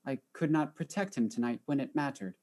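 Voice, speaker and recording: A woman's voice: very deep voice, a little fast, dark tone. A woman playing a ship's artificial intelligence in a mystery drama, formal and precise, newly troubled by emotion. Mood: grave, formal. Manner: formal, precise, stilted phrasing. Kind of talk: acted mystery audio drama.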